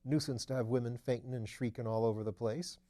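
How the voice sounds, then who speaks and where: A man voicing a genial aristocratic gentleman detective in an audiobook, affable, with clipped upper-class phrasing. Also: mid-range voice, fairly even intonation, brisk, lightly acted. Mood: cheerful. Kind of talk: audiobook character voice.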